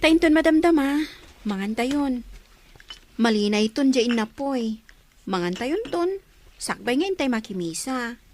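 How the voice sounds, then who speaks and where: A woman's voice: high voice, lively intonation, measured pace, long pauses, darkish tone, slight background noise. A woman playing a dutiful daughter in a radio drama, pleading emotively about her wayward brother. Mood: attentive, caring.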